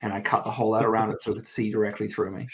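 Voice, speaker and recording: A man's voice: lowish voice, level tone, racing, a bit bright. A man in his thirties from New Zealand, with an accent blending New Zealand and American, chatting as a guest with lots of self-deprecating restarts. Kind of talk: casual chat.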